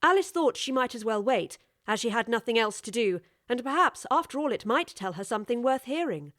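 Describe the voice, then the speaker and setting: A woman narrating a scripted storybook audiobook in a measured, articulate way. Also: a little high, animated, fairly bright tone, dry recording, clean studio sound.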